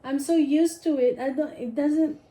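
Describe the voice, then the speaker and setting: Woman with a mellow voice. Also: high voice, fairly even intonation, normal speed. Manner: reading questions aloud, teasing. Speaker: wife asking the game questions. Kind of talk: casual chat.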